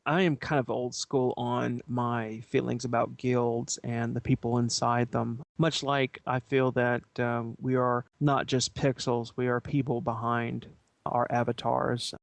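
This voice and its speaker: A man on a gaming podcast panel, giving his opinions in a measured, reflective way. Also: mid-range voice, flat delivery, gravelly, no room sound. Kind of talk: panel discussion of an online roleplaying game.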